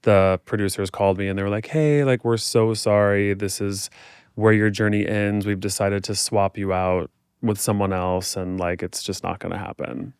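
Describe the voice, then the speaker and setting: A man's voice: on the low side, steady tone, moderate pace, clean tone, no room sound, studio quality. An American man chatting as a podcast guest, telling personal stories in an informal, candid, reflective way. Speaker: podcast guest, fitness instructor. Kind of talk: casual chat, personal storytelling.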